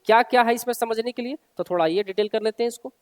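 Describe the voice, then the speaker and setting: Man, high voice, coaching teacher, informal explainer delivery, instructive, repeats key points, long reverberant hall sound. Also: fast talker, smooth. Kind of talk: informal explainer, reading out and explaining a job notice.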